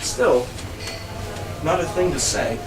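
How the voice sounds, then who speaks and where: A man acting a stubborn, literal-minded, fretful character in quick comic banter in a live stage play. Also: fairly high pitch, expressive. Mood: indignant, literal. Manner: contrary, insistent, literal-minded. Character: stubborn, contrary man fretting over a missing friend. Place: small bar performance room. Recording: echoey live venue, distant mic, faint noise.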